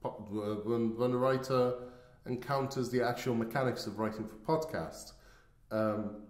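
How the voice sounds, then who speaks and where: A man chatting casually as a podcast host, speaking haltingly. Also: mid-range voice, steady tone. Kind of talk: casual chat. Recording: roomy, echoey sound.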